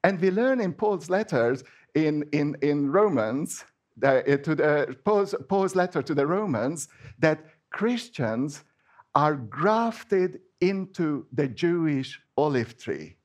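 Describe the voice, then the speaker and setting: A man's voice: fairly high pitch, animated, slow. A man with a Hungarian accent, a native Hungarian speaker, giving an informal sermon in a chatty, hesitant way with many restarts. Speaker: preacher.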